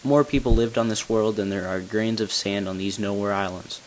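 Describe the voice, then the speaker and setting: A hissy home recording carries the low voice of a tall, solemn bell ringer acted by an amateur man. He narrates long-kept secrets from a video game story. His delivery is grave and deliberate. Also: fairly even intonation, close to an everyday voice, rough recording. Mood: solemn, explanatory. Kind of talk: scripted character narration, amateur game voice-over.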